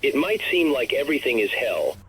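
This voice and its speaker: A man playing a grave narrator of a recorded message to the last human, speaking in a solemn, measured, formal way for an analog horror story. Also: mid-range voice, sing-song, a bit bright, slightly rough, heavily acted, poor audio.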